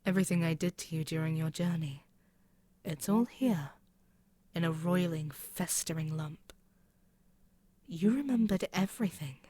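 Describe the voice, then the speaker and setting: An imperious demon queen, acted by a woman for an audio roleplay, speaks slowly and close, with a dry, roomless sound. She is haughty and possessive, musing with pauses as if toying with the one listener before her. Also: lowish voice, mellow, no echo. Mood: pensive, wounded pride. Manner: haughty, deliberate, musing, with pauses and murmured asides. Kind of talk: scripted ASMR audio roleplay, spoken intimately to the listener.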